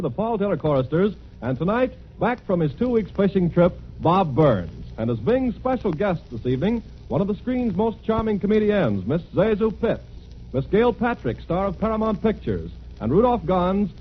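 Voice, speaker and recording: A man's voice: sits high, big pitch swings, normal speed, highs cut off, slight background noise. A man announcing a variety show in 1930s radio style, on an old broadcast recording.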